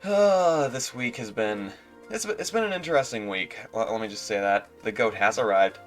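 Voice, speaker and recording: A man with a stuffy nose talking casually on a livestream as a streamer, halting and repeating his words as he goes. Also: medium pitch, expressive, soft background music.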